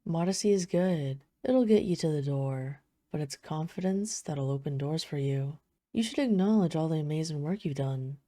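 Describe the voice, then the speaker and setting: A woman playing a poised, flirtatious vampire, speaking softly and teasingly in an intimate audio roleplay. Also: deep voice, expressive, normal speed, no echo. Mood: gentle, admiring. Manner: soft-spoken, teasing, smooth formal phrasing. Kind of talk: intimate scripted audio roleplay addressed to the listener.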